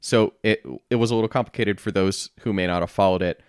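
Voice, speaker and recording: A man co-hosting a podcast, chatting casually and explaining things in a deadpan manner. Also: fairly low pitch, quick pace, clean tone, no echo, clean studio sound. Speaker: podcast co-host. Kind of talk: casual chat, explaining a story.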